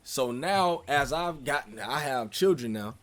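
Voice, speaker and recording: A man's voice: medium pitch, expressive, a little slow, a bit bright. Casual heartfelt male podcast host. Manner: casual, heartfelt, frequent affirmations.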